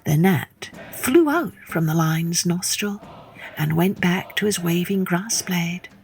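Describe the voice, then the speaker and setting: A woman telling a children's story in a theatrical way, with hushed moments and questions put to the listener. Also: fairly low pitch, slightly rough. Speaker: storyteller, podcast host. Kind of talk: dramatic children's storytelling narration.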